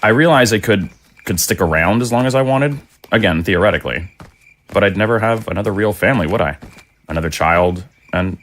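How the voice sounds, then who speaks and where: A middle-aged man playing a devoted, gentle undead father in an audio drama, speaking in a halting, earnest way. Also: on the low side, close to an everyday voice. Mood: tender, reflective.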